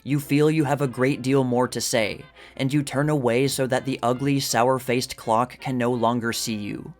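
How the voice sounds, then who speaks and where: A man reads scripted narration in a measured, formal, literary read-aloud manner. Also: mid-range voice, steady tone. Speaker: narrator of a history channel. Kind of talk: scripted narration, reading an old magazine article. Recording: music behind the voice. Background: music.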